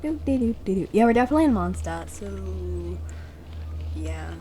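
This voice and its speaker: Mellow woman playing a game. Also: mid-range voice, very animated, normal speed, slight background noise. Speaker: video game streamer. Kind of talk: casual gameplay commentary.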